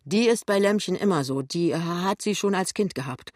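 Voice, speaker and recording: A woman's voice: fairly low pitch, lively intonation, normal speed, a little mellow, dry recording, studio quality, pitched above the performer's own voice. A woman voicing a cheeky, exasperated young husband in an audiobook novel, with blunt colloquial delivery. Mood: teasing.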